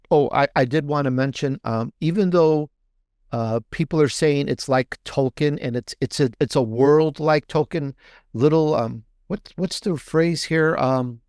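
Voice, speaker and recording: A man talks casually as an interview guest. His voice sits at a middle pitch and the sound is dry, with little room. He is emphatic and enthusiastic, often repeating a phrase to stress it. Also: a little mellow, no echo. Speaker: guest author and podcaster.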